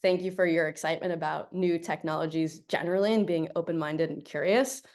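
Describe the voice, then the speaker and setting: A young woman from Colombia whose first language is Spanish, speaking as a guest over a video call. She is chatty and upbeat and laughs easily. Her voice sits fairly low for a woman. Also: steady tone, brisk, darkish tone.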